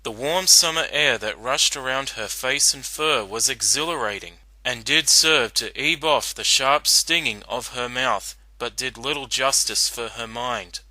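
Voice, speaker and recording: A man narrating a dramatic reading of fan fiction in an earnest, deliberate storytelling manner. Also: medium pitch, pushed and bright, no echo, low-quality recording.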